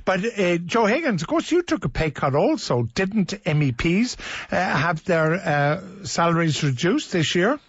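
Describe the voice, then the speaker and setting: A man's voice: high-pitched, very animated, a little slow, hardly any pauses, husky. An Irish man hosting a current affairs debate on radio, challenging his guests. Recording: muffled radio broadcast audio.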